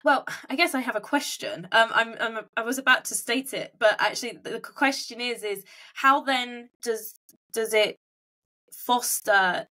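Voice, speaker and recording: A woman's voice: a little high, a little slow, sharp tone. A woman hosting a casual interview, thinking aloud and rephrasing her questions as she goes. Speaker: podcast host and interviewer.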